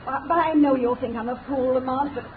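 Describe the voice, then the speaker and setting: A woman's voice: high-pitched, steady tone, a little fast, close to an everyday voice. An actress playing an anxious, earnest young lady in a 1930s radio drama, hesitant and fretful. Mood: worried. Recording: distant tinny noisy 1930s radio.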